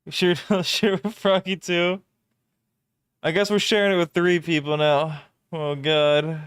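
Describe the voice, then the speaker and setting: Slow-talking young man streaming casually. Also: fairly high pitch, level tone, a bit bright, smooth, no room sound, studio quality. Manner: laughs often, reacts to chat. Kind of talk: casual live-stream chat while drawing.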